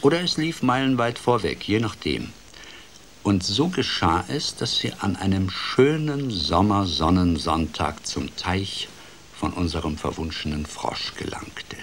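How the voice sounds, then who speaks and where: Playful old grandpa telling a fairy tale, slow and deep, radio play style. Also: male voice, a little hiss or hum, exaggerated voice. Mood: playful, wry. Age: older. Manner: expressive, dramatic, shifts between hushed and lively. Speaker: storyteller.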